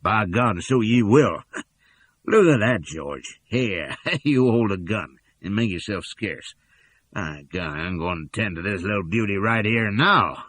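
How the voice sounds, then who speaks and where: A brutish, leering captor in a storybook reading, loud and bossy with a raised, lively drawl, as a man puts him on. The voice is husky and coarse, giving orders as if no one could refuse. Pitch swings widely when he barks. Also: measured pace, no room sound. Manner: rough, leering, domineering. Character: coarse, bullying Southern slave catcher man.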